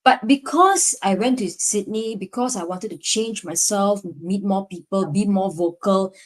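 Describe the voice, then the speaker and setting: A woman's voice: mid-range voice, measured pace. A young woman from Singapore with a Singaporean English accent, a lecturer reflecting on her past in a casual conversation. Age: young adult. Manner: reflective, frequent "you know" fillers. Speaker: lecturer, guest.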